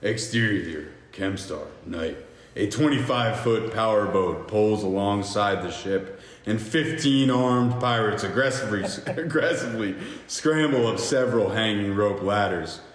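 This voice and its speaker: A man hosting a podcast, reading screenplay stage directions aloud in a halting, jokey amateur way with stumbles. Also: lowish voice. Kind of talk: amateur screenplay table read. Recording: echoey reverberant room with faint hiss.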